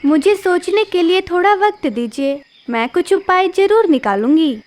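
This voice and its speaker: A smart young female sparrow in a cartoon, voiced by a woman. Her voice is high and earnest, and she speaks politely and thinks fast. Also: animated, a little mellow.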